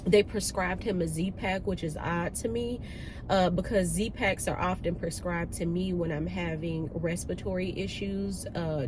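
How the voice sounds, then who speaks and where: Nasally congested woman chatting casually. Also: lowish voice. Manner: chatty, informal and slangy. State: stuffed up with a cold. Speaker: vlog host. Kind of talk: casual chat to viewers. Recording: noisy car ambience.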